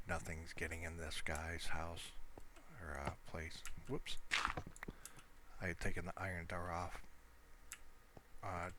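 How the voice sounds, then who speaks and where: A man giving casual, unscripted gameplay commentary, halting and rambling as he thinks aloud. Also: low-pitched, background noise. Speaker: gamer narrating own gameplay.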